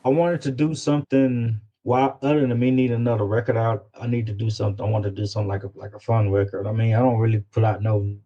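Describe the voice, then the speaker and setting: Deep-voiced Louisiana man in casual chat. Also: little pitch movement, dark tone, dull audio. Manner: halting, circles back and repeats phrases. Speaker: guest rapper.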